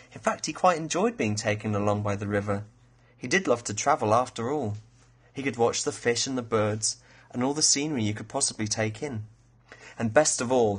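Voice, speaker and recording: A man's voice: medium pitch, breathy edge. A man, an author reading his children's fairy tale as a storyteller.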